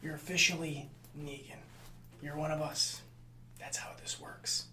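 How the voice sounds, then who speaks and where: A man playing a nice, easily hurt assistant to a gang leader in an ASMR roleplay, speaking softly with hesitant, placating stammers. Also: on the high side, fairly even intonation, unhurried, reverberant, low-quality recording. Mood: concerned, matter-of-fact.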